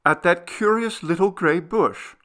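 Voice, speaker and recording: A man voicing a devoted, gentle young woman in an audiobook story, earnest and exclamatory. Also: high-pitched, measured pace, voice pushed up. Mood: eager.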